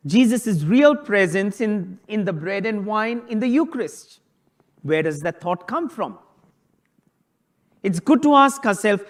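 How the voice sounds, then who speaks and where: Priest guy preaching, kinda high voice, keeps throwing questions at the congregation. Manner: conversational preaching, rhetorical questions. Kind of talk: sermon on a Bible passage.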